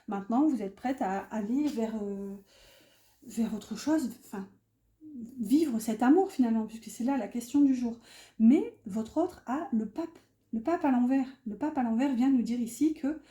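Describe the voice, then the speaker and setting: Woman doing a chatty tarot reading, super mellow voice, kinda searching for words, roomy echo. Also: fairly high pitch, moderate pace. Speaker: tarot reader hosting an online guidance. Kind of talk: casual, improvised tarot reading addressed to viewers. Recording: roomy, echoey sound.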